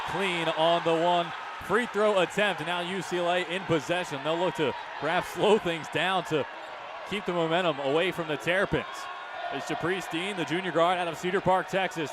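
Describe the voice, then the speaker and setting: A man doing live radio basketball play-by-play, projecting excited, rapid-fire calls. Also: fairly high pitch. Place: courtside in a basketball arena. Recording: loud arena crowd noise behind. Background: crowd, bouncing ball.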